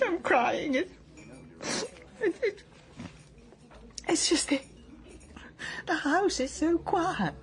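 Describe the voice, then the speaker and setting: An actress playing a lonely older wife who stammers and halts through tearful talk in a radio drama. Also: female voice, sits high.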